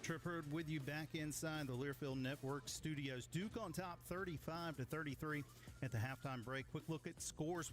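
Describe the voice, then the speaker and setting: A man hosting a radio sports studio update, giving a brisk rundown of scores. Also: medium pitch. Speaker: studio host.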